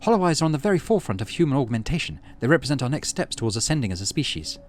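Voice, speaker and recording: A man's voice: mid-range voice, big pitch swings, racing, a little hiss or hum, pitched above the performer's own voice, natural voice. A man voicing an earnest, stoic teenage girl scientist in a visual novel, with precise, formal speech. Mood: proud, intense. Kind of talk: reading visual novel dialogue aloud.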